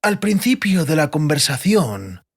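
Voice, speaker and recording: A man voicing an oily, insinuating stranger in an audiobook, speaking slowly with drawn-out, false courtesy. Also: on the high side, big pitch swings, slightly rough, voice pushed up, exaggerated voice. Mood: sly, polite. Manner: slow, drawn-out, deliberately polite. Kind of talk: audiobook character voice.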